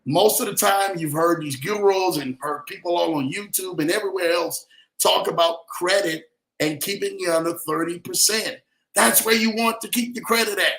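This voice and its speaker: A man from New Orleans speaks in a fairly high voice with a clearly echoing, roomy sound. He coaches listeners in an emphatic, preacherly way, addressing them directly as a motivational podcast guest. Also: moderate pace, fairly bright tone. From New Orleans, United States. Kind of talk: motivational talk in a podcast interview.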